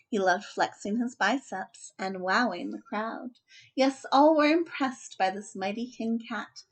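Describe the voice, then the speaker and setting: A woman hosting a children's story time, speaking and reading a picture book aloud in an expressive, deliberate storytelling style. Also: fairly high pitch.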